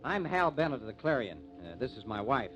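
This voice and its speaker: An actor playing a brisk, inquisitive and level-headed newspaper reporter, in 1950s radio drama style. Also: male voice, medium pitch, big pitch swings, a bit bright, soft background music. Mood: brisk, probing. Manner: brisk, probing. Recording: muffled, noisy old radio recording.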